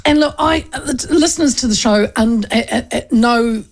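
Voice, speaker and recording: A woman's voice: fairly high pitch, moderate pace. A woman hosting a talk radio show, chatty and bubbly, often trailing off and restarting. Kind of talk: casual talk radio interview. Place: radio studio.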